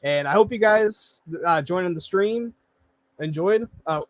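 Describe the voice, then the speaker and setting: A man hosting a casual video chat, relaxed and laid-back, filling his talk with "yeah" and "like". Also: high voice, measured pace. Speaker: host.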